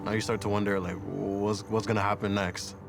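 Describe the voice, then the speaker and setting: A man's voice: lowish voice, level tone, fairly quick, creaky, music in the background. A man around twenty, reflecting on his life in an interview. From Canada. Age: 20s. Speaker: interviewee, soccer player.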